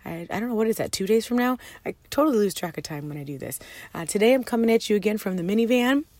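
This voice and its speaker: A woman in her thirties with a hoarse voice, hosting a solo money-coaching podcast from her parked minivan in an upbeat, chatty way. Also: medium pitch, expressive, fast, darkish tone, dry recording. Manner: upbeat and chatty, with fillers and restarts.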